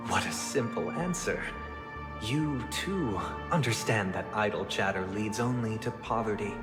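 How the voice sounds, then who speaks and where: Stern, immaculate game inquisitor, played by a male actor: lowish, formal, measured, icily courteous, heavy echo. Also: close to an everyday voice. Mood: solemn, commanding. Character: stern, immaculate, devout male authority interrogating a suspect. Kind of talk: dramatic video game voice acting. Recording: echoey hall reverb with music behind.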